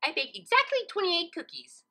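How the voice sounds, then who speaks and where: A woman voicing a cheerful, scatterbrained young female cartoon pony with a giggly, excitable, breezily confident manner. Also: very high-pitched, normal speed, pitched above the performer's own voice, full character voice. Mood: bubbly, excited.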